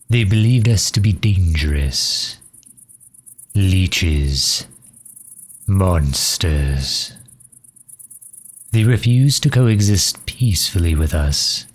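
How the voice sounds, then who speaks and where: An ancient, dignified vampire leader, acted by a man for an audio roleplay. His voice sits very low and he speaks slowly, in formal, measured phrases with a grave, solemn weight. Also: darkish tone. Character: centuries-old male vampire leader, solemn, dignified refugee. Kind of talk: scripted ASMR roleplay monologue.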